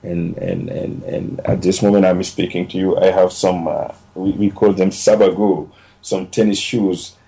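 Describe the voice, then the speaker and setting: Guest voice: man, gravelly and mellow, earnest, repeats and restarts as he tells his story. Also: low voice, fairly even intonation, a little slow, poor audio. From Senegal. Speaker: podcast guest. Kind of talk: casual storytelling interview.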